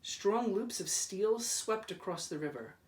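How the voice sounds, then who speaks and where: Slow, expressive male storybook reader, echoey room. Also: high-pitched. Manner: deliberate, dramatic, drawn-out repeated words. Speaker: school principal reading aloud. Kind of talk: expressive children's storybook read-aloud. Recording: roomy echo, distant microphone.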